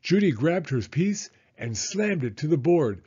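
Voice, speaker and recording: A man reading a children's storybook as an expressive, dramatic narrator. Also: on the high side, unhurried, breathy edge, lo-fi sound.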